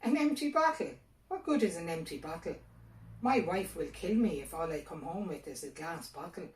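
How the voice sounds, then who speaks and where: A woman's voice: lowish voice, expressive, moderate pace, echoey room, far from the mic, slight background noise, lightly acted. A woman voicing a poor, wary and gullible farmer husband in a storybook folktale. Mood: indignant.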